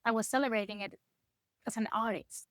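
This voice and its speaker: A middle-aged woman from Ecuador, speaking English with an Ecuadorian Spanish accent as a guest musician, lively and sometimes searching for words. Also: a little high, a little fast, no echo, clean studio sound. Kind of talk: casual interview chat.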